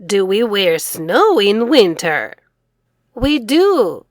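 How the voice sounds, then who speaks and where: A middle-aged woman reading aloud to children as an English teacher, enunciating each phrase clearly. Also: medium pitch, very animated, extremely slow, no room sound, clean studio sound. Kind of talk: children's read-aloud lesson.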